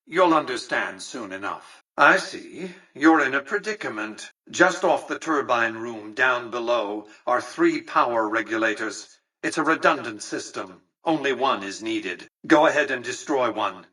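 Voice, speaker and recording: Old rich overlord for a video game, husky but sharp voice, pompous and condescending, bit far from the mic. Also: male voice, mid-range voice, lively intonation, a little slow, few pauses, exaggerated voice. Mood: lofty, businesslike. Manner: formal, pompous, precise diction. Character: imperious elderly male tycoon ruling a casino city, coldly calculating. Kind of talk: scripted game dialogue.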